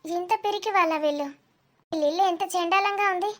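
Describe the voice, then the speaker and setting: A woman's voice: extremely high pitch, a little fast, fairly bright tone. A woman voicing a proud, wary middle-aged auntie in cartoon family drama, questioning in polite but indignant words. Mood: disdainful.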